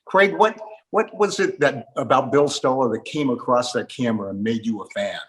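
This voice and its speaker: Male interviewer with a mid-pitched voice. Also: moderate pace. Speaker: interviewer, host. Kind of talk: interview hosting.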